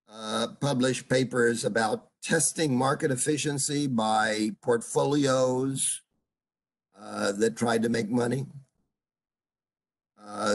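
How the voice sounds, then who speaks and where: An older man teaching an online seminar as a professor, speaking haltingly with many fillers and stopping often to put questions to his students over a video call. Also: mid-range voice. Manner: halting, full of uhs, asks students questions. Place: home room on a video call. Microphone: video call computer mic.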